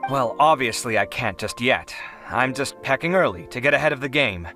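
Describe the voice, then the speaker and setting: An actor playing a proud, easily offended young scholar in an anime game, theatrical and exasperated in manner. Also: male voice, mid-range voice, normal speed, over music, lightly acted. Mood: boastful, determined. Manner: theatrical, indignant, expressive. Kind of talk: anime video game voice acting.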